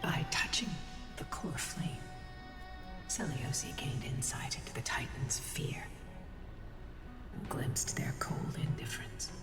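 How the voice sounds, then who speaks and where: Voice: medium pitch, animated, slow pace, pauses often, whispered, recorded from a distance. An actor voicing a solemn, wrathful divine being in a fantasy game, speaking in grave, declamatory lines. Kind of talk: scripted fantasy game dialogue. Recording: long hall reverb, music underneath.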